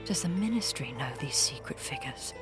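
An actress with a British accent playing a clever, polite young undercover secretary in a radio drama. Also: female voice, deep voice, animated, moderate pace, music in the background. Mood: alarmed, puzzled.

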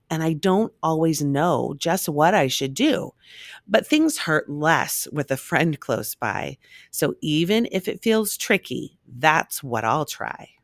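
A woman reading a rhyming children's picture book aloud in an expressive, measured storytelling manner. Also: low-pitched, no room sound, studio quality.

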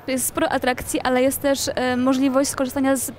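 A young woman interviewer asking brisk, polite questions on a lapel mic in a shopping mall. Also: high-pitched, monotone. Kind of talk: presenter questions. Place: shopping mall seating area, indoors. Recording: faint mall noise behind.

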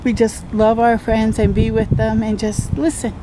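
An older woman hosting a children's storytime, reading aloud with expressive, encouraging asides to young viewers. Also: on the high side, normal speed. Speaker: storytime host. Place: outdoors under trees by a river. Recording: loud outdoor wind and ambient noise.

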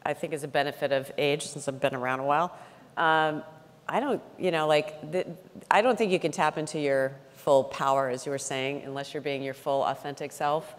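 A woman's voice: low-pitched, steady tone, a little fast. A woman moderating a conference panel, talking casually with many fillers. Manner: casual, rambling, filler-heavy. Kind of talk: panel discussion. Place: large conference hall stage. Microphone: handheld mic. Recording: reverberant hall.

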